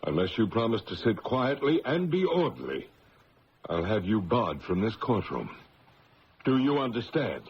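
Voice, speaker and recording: An actor playing a formal, stern middle-aged judge who narrates a trial in an old radio drama, firm and measured. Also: male voice, lowish voice, sing-song, husky, exaggerated voice. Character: stern male judge recounting a trial, secretly moved. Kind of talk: 1970s radio drama acting. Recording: muffled old radio broadcast.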